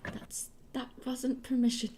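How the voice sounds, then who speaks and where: A woman's voice: sits high, monotone, a little slow, noisy background. A woman chatting casually and playfully while livestreaming her artwork. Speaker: digital artist livestreaming.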